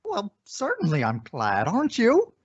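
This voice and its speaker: A man's voice: high-pitched, huge pitch swings, normal speed, slightly rough, highs cut off, heavily acted. Man playing a swooping, flustered sitcom husband. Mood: surprised, defensive. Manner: hesitant, exclaiming comic delivery. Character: flustered, well-meaning young husband. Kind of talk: 1950s radio sitcom acting.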